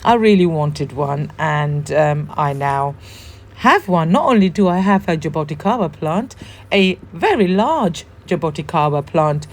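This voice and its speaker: A woman with a hoarse, worn voice from the flu, chatting casually and warmly as host of a gardening vlog, with frequent ums. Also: low-pitched, lively intonation, unhurried. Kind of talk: casual show-and-tell vlog.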